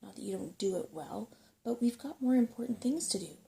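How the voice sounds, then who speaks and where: A woman's voice: medium pitch, expressive, fairly quick, vocal fry, dark tone. A woman voicing a small, bossy, impatient female cat-faced creature in a storybook, with snippy, chiding delivery. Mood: scornful.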